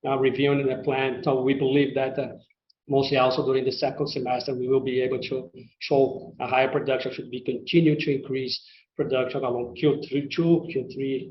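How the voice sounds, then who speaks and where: Halting male executive on a roomy conference call. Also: medium pitch. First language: not English. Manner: halting, hesitant delivery with repeated words. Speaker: company executive presenting results. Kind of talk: semi-scripted earnings call presentation to investors. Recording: roomy, muffled conference-call audio with faint noise.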